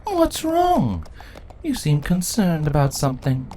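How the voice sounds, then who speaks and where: A woman's voice: low-pitched, huge pitch swings, exaggerated voice. A woman voicing a cold, imperious, vengeful changeling queen in a dramatic fantasy story reading. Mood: stern, controlled. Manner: imperious, measured, menacing. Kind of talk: dramatic fan fiction audio reading. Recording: clearly noisy recording.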